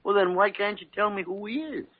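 An actor playing a gruff older senior doctor in an old radio drama, muttering and exclaiming in a husky voice. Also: male voice, sits high, fairly quick. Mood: grumbling, obliging. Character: crusty older senior hospital doctor, gruff but obliging. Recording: dull vintage radio recording.

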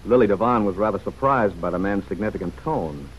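A man's voice: on the low side, fairly quick. A man announcing and narrating a 1940s radio serial in a polished, persuasive style that addresses the listener directly. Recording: dull, faintly noisy old radio recording.

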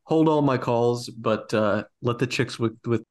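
A man's voice: medium pitch, a little slow. Guy on a podcast getting into a story, laughing a lot, mid voice. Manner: animated storyteller, laughs often. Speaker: podcast co-host. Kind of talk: casual podcast chat.

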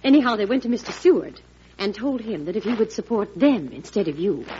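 An actress playing a concerned, composed president's wife in a classic radio drama. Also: female voice, mid-range voice, animated, brisk, dark tone, slight background noise, natural voice. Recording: dull old radio broadcast.

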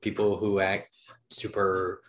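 A man's voice: low-pitched, monotone, takes it slowly, a bit bright. A young man talking as a podcast guest in a casual chat, hesitant, with frequent "um"s and restarts. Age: young adult.

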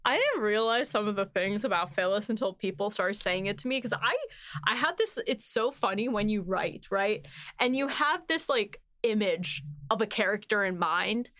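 A woman's voice: medium pitch, animated, moderate pace, fairly bright tone, no echo, studio quality. A woman hosting a casual behind-the-scenes chat as a writer, talking informally with lots of "like" and "you know". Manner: chatty, informal, says "like" and "you know" often. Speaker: host, series writer.

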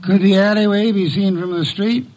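An actor playing a haughty, erudite middle-aged detective boss in an old radio drama, pompous and curt, with sardonic, precise speech. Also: male voice, sits high, steady tone, moderate pace, a little mellow, a little hiss or hum, lightly acted, rough recording. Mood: indignant, brisk. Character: gruff, haughty, erudite male detective boss, orchid lover.

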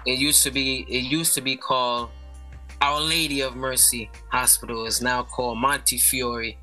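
A man from the Bronx, a guest musician, talking in a relaxed, explaining way with lots of "you know". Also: medium pitch, moderate pace, soft background music, rough recording. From North Bronx, New York. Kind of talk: casual interview.

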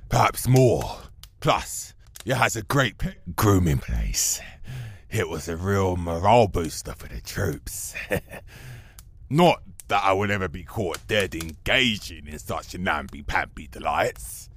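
Low, husky, boastful werewolf commander. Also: male voice, expressive, slow, fairly bright tone, dry recording, full character voice. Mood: defensive, blustering. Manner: obsequious, bragging, theatrical. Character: fearsome male werewolf army commander, boastful yet servile. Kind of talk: comic fantasy audio drama.

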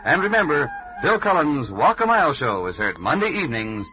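Animated, bright male network announcer. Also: on the high side, fairly quick. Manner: upbeat, promotional. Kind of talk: 1950s radio promo announcing. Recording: muffled vintage radio broadcast over music. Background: music.

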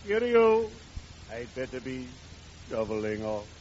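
An actor playing a morbidly genial undertaker in an old radio comedy, solemn, formal and punning. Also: male voice, mid-range voice, sing-song. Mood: advising. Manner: formal, grandly deliberate, punning. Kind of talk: 1940s radio comedy acting. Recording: dull, noisy old radio recording.

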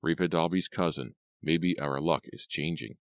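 A man's voice: very deep voice, fairly even intonation, moderate pace, dry recording, studio quality. A man playing a menacing male kidnapper in an audiobook, curt, impatient and growling. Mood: gruff, nasty.

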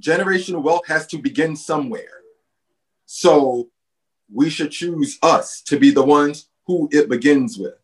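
Man, earnest, persuasive, rhetorical questions, slightly high pitch, conversational. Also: measured pace, low-quality recording. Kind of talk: casual conversation.

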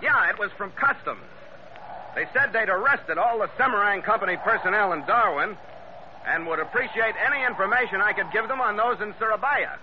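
An actor playing a hard-boiled, wry American sea captain in 1940s radio adventure style, with a clipped, tough delivery. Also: male voice, high-pitched, fairly quick, natural voice. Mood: wry, easygoing. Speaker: lead actor and narrator. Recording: noisy, muffled old radio recording.

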